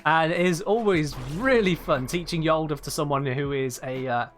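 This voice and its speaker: A man's voice: fairly high pitch, moderate pace, soft background music. A British man chatting casually while he livestreams a video game, rambling and correcting himself as he goes. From United Kingdom. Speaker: livestream gamer. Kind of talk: casual chat while gaming.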